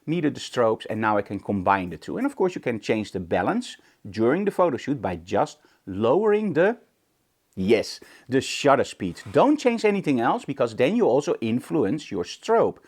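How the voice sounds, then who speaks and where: Animated man giving a tutorial. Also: medium pitch, normal speed, slightly rough. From Netherlands. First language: Dutch. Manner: emphatic, conversational, rhetorical questions. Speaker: photography instructor, show host. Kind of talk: informal tutorial talk to camera.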